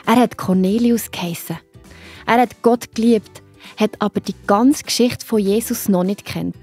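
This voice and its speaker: A woman narrating a children's Bible story, gently addressing the young listener. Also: mid-range voice, moderate pace, slightly rough, faint music behind.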